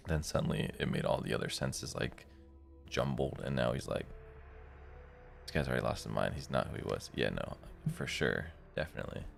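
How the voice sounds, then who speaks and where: A man with a very deep, gravelly voice giving casual, slangy reaction commentary as a streamer. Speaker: streamer playing a visual novel. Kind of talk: casual reaction commentary between read-aloud passages.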